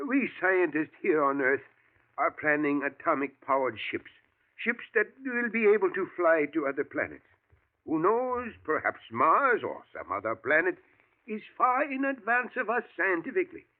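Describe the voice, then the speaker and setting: A genial old professor. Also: male voice, a little high, sing-song. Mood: musing. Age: older. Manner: measured, thoughtful, chuckling. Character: elderly male professor, genial, musing scientist. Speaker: professor and scientist. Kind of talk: 1940s radio acting. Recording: tinny lo-fi old radio broadcast.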